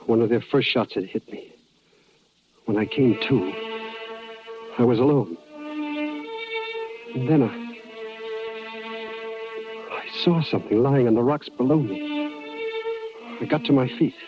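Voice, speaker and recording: A man's voice: medium pitch, measured pace, long pauses, lightly acted. An actor playing an earnest frontiersman and peacemaker in a 1950s radio drama, also narrating in the first person. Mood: somber narration. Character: earnest frontiersman and peace-broker, grieving husband. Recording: muffled vintage radio broadcast with music.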